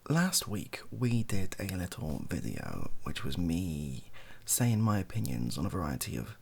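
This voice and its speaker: A British man softly rambling through an improvised monologue, breathy and often near a whisper, with a wry, self-deprecating, hesitant manner. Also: low voice, huge pitch swings, unhurried. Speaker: video host.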